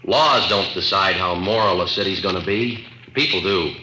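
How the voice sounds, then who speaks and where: A man acting a calm, no-nonsense police detective with an American accent, matter-of-fact and deliberate, in 1950s radio drama style. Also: low voice, slight background noise. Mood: firm, matter-of-fact. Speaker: police sergeant and narrator. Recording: dull old radio recording, roomy, music behind.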